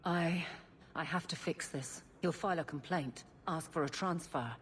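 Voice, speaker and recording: An actress playing a blunt, earnest woman guard captain who gets flustered and halting, in cinematic video game dialogue. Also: low voice, slight background noise, lightly acted. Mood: flustered, irritated.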